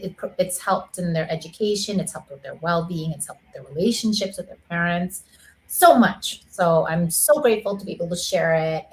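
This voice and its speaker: A woman's voice: fairly low pitch, low-quality recording. A woman music teacher speaking as a guest, earnest and grateful. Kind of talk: interview guest chat.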